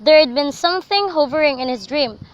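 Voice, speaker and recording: A woman's voice: high-pitched, expressive, fairly quick, muffled recording. A young woman reading scripted, dramatic story narration for a student radio drama. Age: young adult. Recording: faintly noisy amateur recording.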